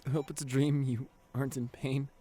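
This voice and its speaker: A man acting a devoted, guilt-ridden boyfriend at a bedside in an intimate ASMR roleplay, speaking tenderly and haltingly with a lot of emotion. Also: mid-range voice, level tone, dark tone, lightly acted. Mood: tender, hushed. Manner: tender, halting, emotional delivery with repeated phrases.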